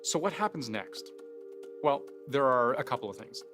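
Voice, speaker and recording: A man's voice: medium pitch, animated, moderate pace. A man hosting a news explainer in a conversational scripted style, posing rhetorical questions and stressing key phrases. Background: faint music.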